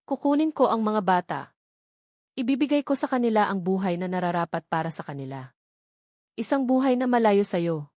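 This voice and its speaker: A woman's voice: medium pitch, expressive, fairly quick, pauses often, darkish tone, dry recording, clean studio sound, lightly acted. A woman voicing a haughty, scornful rich older mother, the villain of an audio story. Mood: threatening. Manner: scornful, imperious.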